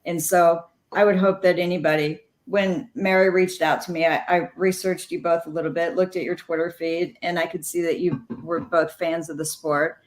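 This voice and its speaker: Middle-aged woman guest, lively storyteller, echoey room. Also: on the low side, moderate pace, hardly any pauses. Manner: animated anecdotes with quoted dialogue. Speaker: guest, former jockey. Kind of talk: storytelling interview.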